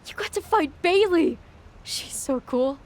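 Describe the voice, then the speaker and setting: A woman's voice: very high-pitched, lively intonation, measured pace, a little mellow, heavily acted. A woman playing a naive, eager young woman wrestler and devoted follower in a video game cutscene, speaking with starstruck earnestness. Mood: eager, trusting.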